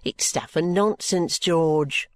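A woman's voice: a little high, sing-song, moderate pace, a little mellow, dry recording. A woman voicing a hearty, scolding soldier's wife in a storybook, indignant, emphatic and colloquial but fond. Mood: exasperated. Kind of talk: storybook character dialogue.

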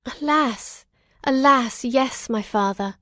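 A woman's voice: fairly high pitch, moderate pace, no room sound, studio quality, natural voice. A woman voicing an anguished, feverish young man in a storybook, exclamatory and melodramatic. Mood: sorrowful.

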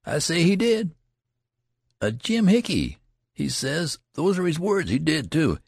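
An eager young soldier played by a man reading a story spills his news in excitable, ungrammatical country talk. His pitch jumps high and swings widely, with a slightly rough, creaky edge. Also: normal speed, no room sound, clean studio sound. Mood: eager, excited. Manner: excitable, rustic ungrammatical talk, emphatic repetition. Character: eager young male soldier breathlessly telling big news.

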